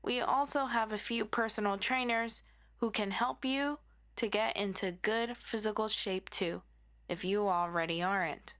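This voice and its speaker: A woman's voice: medium pitch, a little slow, a bit bright, lightly acted. A woman playing a friendly superhero best friend in a scripted audio roleplay, giving an orderly, encouraging orientation lecture. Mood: instructive.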